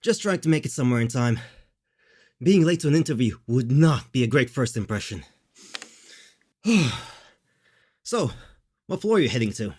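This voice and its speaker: A woman acting as a polite, hurried stranger in an audio drama. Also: rumbling low, sing-song, a little mellow, slightly rough, heavily acted.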